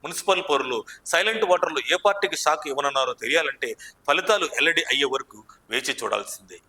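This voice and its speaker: A man narrates scripted political news. His voice is very bright and sharp, sitting a little high, and he drives through the copy quickly with dramatic emphasis. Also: animated, rough recording.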